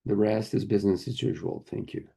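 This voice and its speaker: A man interpreting a corporate earnings call live, speaking haltingly in short phrases. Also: on the low side, vocal fry, dark tone. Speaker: conference interpreter.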